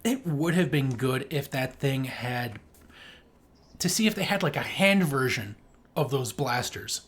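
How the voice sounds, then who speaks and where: A man's voice: medium pitch, lively intonation, unhurried, fairly bright tone, breathy edge. A man co-hosting a podcast, chatting casually and sarcastically as he reviews a film. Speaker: podcast co-host. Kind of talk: casual chat, movie review.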